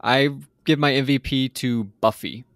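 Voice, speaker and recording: A man co-hosting a podcast, recapping a TV episode in casual, animated chat with mocking asides. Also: mid-range voice, moderate pace, dry recording, studio quality. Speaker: podcast co-host.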